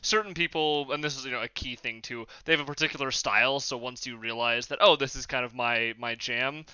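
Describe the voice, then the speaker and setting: A man's voice: medium pitch, fairly quick, sharp tone, smooth, no echo. A man hosting a podcast in casual discussion, recorded on a slightly dull microphone. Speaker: podcast host.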